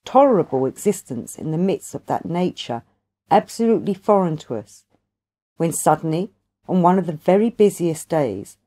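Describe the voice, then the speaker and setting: A woman voicing a wise, ancient grandfather in an audiobook, telling his tale in a formal, measured, long-winded way. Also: fairly low pitch, sing-song, warm tone. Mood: reflective.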